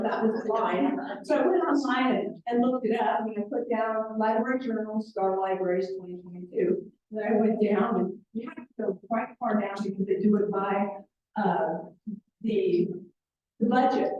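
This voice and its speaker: A woman's voice: mid-range voice, very echoey, far from the mic, highs cut off, a little hiss or hum. A woman library director chatting through announcements, rambling with lots of fillers. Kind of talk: casual announcements and introduction. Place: library meeting room.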